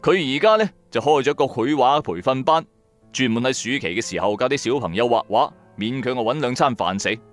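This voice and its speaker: A man narrating a radio drama in the first person, colloquial, wry and expressive. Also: mid-range voice, fairly quick, soft background music. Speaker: storyteller, narrator.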